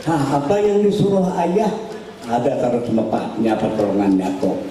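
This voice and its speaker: An older man telling a life story at a religious gathering in an unhurried, folksy way with asides. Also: medium pitch, sing-song, mellow. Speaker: cleric telling his teacher's life story. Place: large hall at a religious gathering. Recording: echoey, distant mic, noisy hall.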